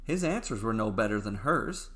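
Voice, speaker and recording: A man narrating a scripted story in a dramatic, deliberate way, with pauses between phrases. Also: lowish voice, lively intonation, a bit bright.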